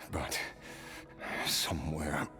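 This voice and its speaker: An actor playing a weary, solemn noble swordsman in a video game, reflecting on his past in a breathy voice. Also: rumbling low, flat delivery, over music, exaggerated voice. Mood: wistful, admiring. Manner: slow, solemn, reflective storytelling. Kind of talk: video game dramatic dialogue.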